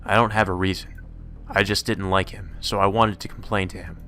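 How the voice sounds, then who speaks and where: A man with a fairly low voice reads game text aloud as a let's player. Music plays faintly behind him. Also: flat delivery, fairly quick, sharp tone.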